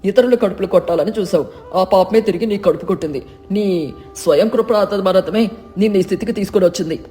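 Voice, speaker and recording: Man playing a high-voiced stern village doctor. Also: fairly quick, warm tone, soft background music, close to an everyday voice. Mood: mocking, reproachful. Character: stern village doctor, scolding a cheating food seller. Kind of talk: dramatised moral story narration.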